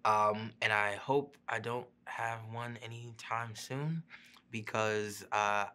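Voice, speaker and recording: A man's voice: fairly low pitch, slow. A young man, an actor, answering interview questions casually with fillers and restarts, seated in a studio. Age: young adult. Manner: relaxed, many fillers and restarts. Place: studio seat before a printed backdrop.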